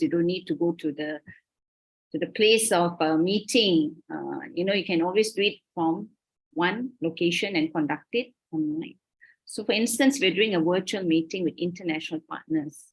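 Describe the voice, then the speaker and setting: A woman's voice: on the low side, breathy edge, rough recording. A Malaysian woman lecturing over a video call, explaining her points carefully and often checking in with "okay?". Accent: Malaysian English. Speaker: university lecturer. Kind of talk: online lecture.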